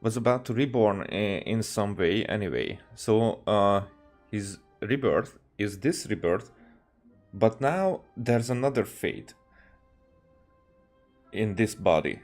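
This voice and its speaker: A man with a fairly low voice streams a game and thinks aloud. He talks very slowly and haltingly, in casual commentary over faint game music. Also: lively intonation. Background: soft game music.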